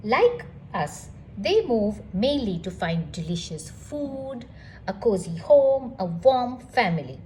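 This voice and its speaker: A woman reading a picture book aloud to children in an expressive, engaging storytelling style. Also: mid-range voice, takes it slowly, slight background noise, rough recording. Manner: animated, addresses child listeners with questions.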